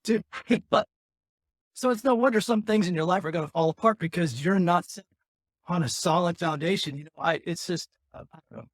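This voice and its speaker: A man with a raspy voice speaks candidly on his podcast, rambling and restarting as he works through his thoughts. His voice sits a little high for a man. The sound is close and dry, with almost no room around it. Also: brisk, dry recording. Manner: rambling, earnest, restarts often. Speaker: podcast host. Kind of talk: candid personal talk.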